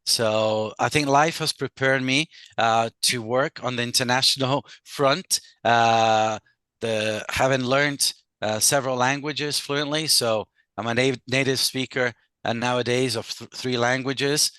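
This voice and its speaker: Guest lawyer, middle-aged man, slight Scottish accent, smooth bright tone, measured with many pauses. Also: mid-range voice, steady tone, no room sound. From Brazil. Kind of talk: casual interview talk.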